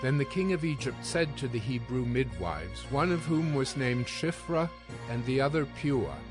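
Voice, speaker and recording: Guy narrating the bible, measured and solemn, medium pitch, music bed behind. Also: expressive. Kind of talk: scripted scripture narration.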